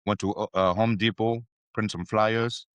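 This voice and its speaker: A man's voice: on the low side, fairly even intonation, measured pace, smooth, no room sound. A man from Ivory Coast with an Ivorian French accent, a native French speaker, answering interview questions hesitantly with many fillers. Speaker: podcast guest. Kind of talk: casual interview talk.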